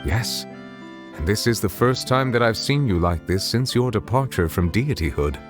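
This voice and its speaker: An actor playing a reserved, dignified chief justice in a video game, speaking in a formal, measured, polite way. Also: male voice, lowish voice, expressive, faint music behind, lightly acted. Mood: composed. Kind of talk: video game cutscene voice acting.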